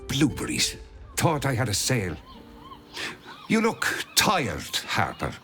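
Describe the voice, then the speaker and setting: Game shopkeeper: showy charm over wry grumbling, lively melody, leisurely pace. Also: male voice, mid-range voice, takes it slowly, faint music behind, full character voice. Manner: wry, mock-flattering. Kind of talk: video game acting.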